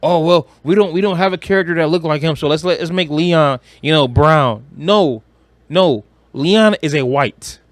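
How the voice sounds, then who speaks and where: A man's voice: on the high side, normal speed, no echo. A man chatting casually as a podcast co-host, slangy and profane, with strong opinions. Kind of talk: casual chat about movies and games.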